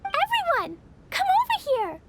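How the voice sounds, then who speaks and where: A woman voicing an earnest, brave and innocent little girl adventurer in an animated video game. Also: very high voice, unhurried, very noisy, heavily acted. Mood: excited. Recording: faint music behind.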